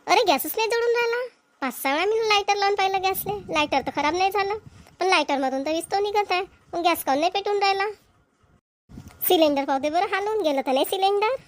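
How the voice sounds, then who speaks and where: A woman's voice: very high voice, quick pace, dry recording. A woman voicing a fretful homemaker mother in a Marathi cartoon comedy, with a rural Vidarbha accent, fussing and thinking aloud. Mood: worried. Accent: Maharashtrian, rural Vidarbha dialect. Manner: fussing, talks problems through aloud. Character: fretful homemaker mother of two young children.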